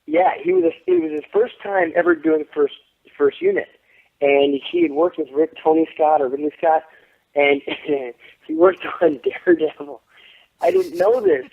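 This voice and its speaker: A man's voice: fairly high pitch, normal speed, gravelly. A man in his thirties, an actor giving an interview, telling stories with lots of swearing and boasting, acting out what others said. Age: 30s. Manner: profane, boastful, animated storytelling with quoted voices. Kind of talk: casual phone interview. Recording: tinny phone line.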